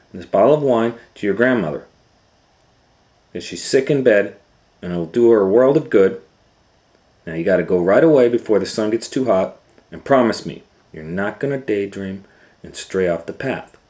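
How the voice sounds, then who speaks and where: A man voicing a fussy, caring storybook mother who gives brisk, firm instructions. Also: on the low side, a little mellow, voice pushed up, lightly acted. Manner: brisk, bossy, listing instructions.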